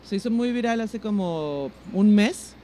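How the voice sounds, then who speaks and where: A woman's voice: medium pitch, lively intonation, measured pace, warm tone, a little hiss or hum. A Mexican woman speaks as a guest on a podcast. She tells anecdotes in a casual, conversational way, using plenty of informal slang. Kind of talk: casual chat, telling anecdotes.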